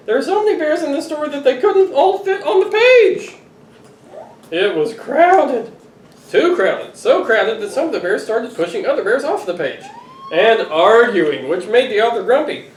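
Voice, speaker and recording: Expressive dad reading aloud, roomy distant sound. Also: male voice, sits high. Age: middle-aged. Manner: expressive, playfully exasperated. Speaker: father reading to his children. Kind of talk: animated picture-book read-aloud. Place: living room sofa, indoors. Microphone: camera a few feet away. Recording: roomy, distant home recording with noise.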